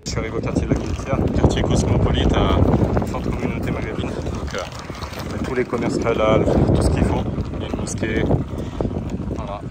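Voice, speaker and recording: A man's voice: on the low side, fairly even intonation, normal speed. A man, a coach and nutritionist, vlogging casually to his viewers while riding a kick scooter through city parks and streets, talking straight to camera with plenty of fillers. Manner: chatty, addresses viewers directly, fillers and asides. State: strained from exertion. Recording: noisy outdoor street sound. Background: outdoor city ambience.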